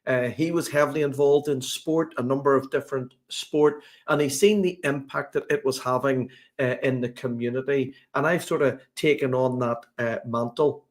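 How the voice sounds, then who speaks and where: A man's voice: mid-range voice, fairly even intonation, normal speed, few pauses. A man with a Belfast accent, a sports consultant talking as a guest on a podcast, chatty and full of fillers and restarts. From Northern Ireland. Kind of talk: podcast interview.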